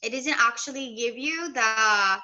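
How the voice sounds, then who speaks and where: A woman's voice: on the high side, a little slow, sharp tone, dull audio. A young woman from Ethiopia, an Amharic speaker, chatting earnestly as an interview guest. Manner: open and earnest, light laughs. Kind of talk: casual interview chat.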